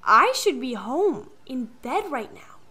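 A woman's voice: sits high, sing-song, takes it slowly, pushed and bright, husky, exaggerated voice. A woman playing an anxious, studious teenage girl in an audiobook. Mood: nervous, tired. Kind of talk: audiobook character acting.

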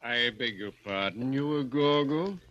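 An actor playing a brisk, persuasive male doctor of science in a 1940s radio thriller, earnest and explanatory. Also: mid-range voice, fairly bright tone, natural voice. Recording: dull vintage 1940s radio recording.

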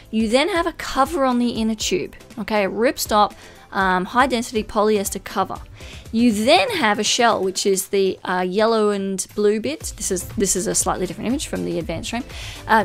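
A woman, talking enthusiastically through a product walkthrough, casual with fillers and asides. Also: mid-range voice. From Australia. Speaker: kayak shop presenter. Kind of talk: product walkthrough over slides. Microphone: voice-over.

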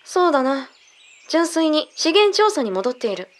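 Audio drama role: composed intelligence briefer played by a woman, high voice, blunt and matter-of-fact. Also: very animated. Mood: composed, serious. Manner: measured, matter-of-fact, blunt masculine phrasing. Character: calm intelligence colleague briefing secret findings.

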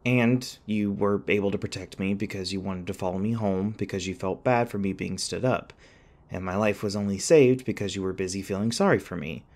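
A man playing an anxious, sarcastic young college student in an audio roleplay, rambling and halting in a wry, flustered way. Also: low voice, a little mellow. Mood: incredulous. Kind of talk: audio roleplay acting, one-sided dialogue.

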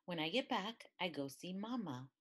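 A woman reading a picture book aloud in an expressive, deliberate way. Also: on the low side. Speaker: storybook reader.

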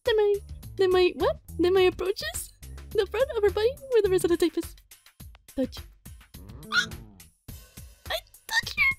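A woman playing a visual novel, reading it aloud dramatically and reacting casually in an animated, playfully exasperated way, sometimes stumbling over words. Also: extremely high pitch, unhurried, pauses often, soft background music.

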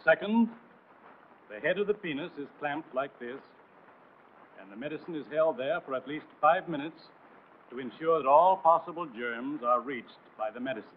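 A thin, narrow-band vintage film soundtrack with light background noise carries a man's voice. He reads a 1940s military training script formally, in a somewhat high register. His delivery is didactic and authoritative, stressing instructions firmly. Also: expressive, normal speed.

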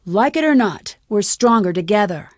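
A woman's voice: mid-range voice, expressive, normal speed, no echo, studio quality, close to an everyday voice. A woman voicing a determined, suspicious young amateur detective in a video game, with an earnest, questioning manner. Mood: insistent.

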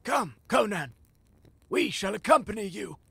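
An actor playing an old, grave and urgent male seer in a fantasy audio drama, with a raspy voice and a portentous, archaic delivery. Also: high-pitched, big pitch swings, unhurried, a bit bright, no room sound, full character voice. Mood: commanding. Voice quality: raspy, creaky.

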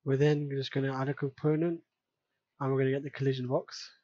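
A man giving an unscripted software tutorial in a casual way, thinking aloud step by step as he works. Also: mid-range voice, level tone, fairly quick, no echo, muffled recording. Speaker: tutorial presenter. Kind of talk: unscripted screen-recorded software tutorial narration.

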